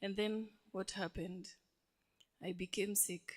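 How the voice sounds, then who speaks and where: A woman's voice: medium pitch, little pitch movement, slow pace, slightly rough. A deaf woman giving a testimony in church, engaging the congregation with questions. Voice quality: deaf speaker. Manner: engaging, asks the audience questions.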